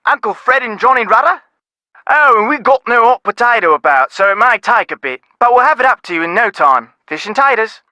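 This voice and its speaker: A man's voice: very high voice, animated, fairly quick, bright, forceful tone, dry recording, full character voice. A man playing a chirpy, chatty Cockney pub barman in a video game, full of rhyming slang. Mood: chirpy, helpful. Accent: Cockney London. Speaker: pub worker taking phone orders.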